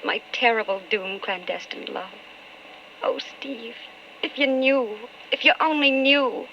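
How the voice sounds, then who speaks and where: An actress playing an anxious, brooding politician's wife in a wistful, dramatic old-time radio style. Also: female voice, fairly high pitch, a little slow, gravelly, a little hiss or hum. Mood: anguished, brooding. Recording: muffled old radio recording.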